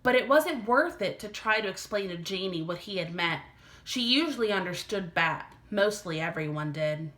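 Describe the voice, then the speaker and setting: A woman teacher narrating a children's storybook aloud with careful, expressive phrasing. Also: medium pitch, normal speed. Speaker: elementary teacher reading aloud.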